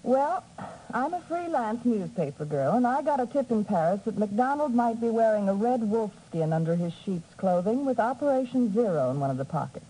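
Mellow, sly young newspaperwoman. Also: a little high, sing-song, a little fast. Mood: teasing, confident. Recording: faintly noisy 1950s radio recording.

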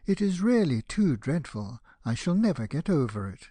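A man's voice: a little high, sing-song, normal speed, warm tone, slightly rough, voice pushed up. A man voicing a pompous, self-obsessed firework rocket in a storybook, declaiming with indignation and self-pity. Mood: mournful, melodramatic. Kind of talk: dramatized storybook reading.

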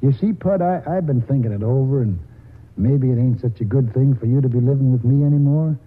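An actor playing a stubborn, folksy old grandfather in a 1940s radio drama, with a husky voice and halting rural speech. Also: mid-range voice, close to an everyday voice. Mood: tender, sorrowful. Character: stubborn, folksy old grandfather, around seventy, wily and tender. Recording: 1940s radio broadcast, muffled, reverberant hall.

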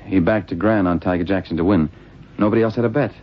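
Detective's sidekick, old radio play, kinda low voice, earnest n slangy, muffled vintage audio. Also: male voice, fast. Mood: matter-of-fact. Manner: earnest, slangy, matter-of-fact. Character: earnest, plain-spoken American male detective's sidekick. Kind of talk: old radio crime drama acting. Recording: dull vintage radio drama recording.

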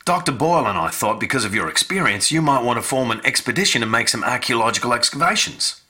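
A blunt Australian mining engineer in a radio drama. His voice is sharp and forceful with a husky grain, and it swings widely in pitch as he talks in excitable, colloquial slang. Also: quick pace. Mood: earnest, persuasive.

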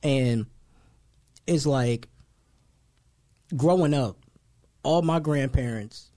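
A man in his thirties hosting a casual podcast chat, talking in a loose, slangy, animated way. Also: medium pitch, drawn-out words. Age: 30s. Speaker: podcast host.

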